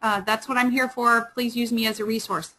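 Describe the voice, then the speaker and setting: A woman with a Midwestern accent presents a webinar, speaking quickly and stopping often on fillers and restarts. Her voice sits slightly high. She sounds like a librarian walking an audience through her slides. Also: steady tone, pushed and bright. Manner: fast-talking, many fillers and restarts. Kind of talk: webinar lecture.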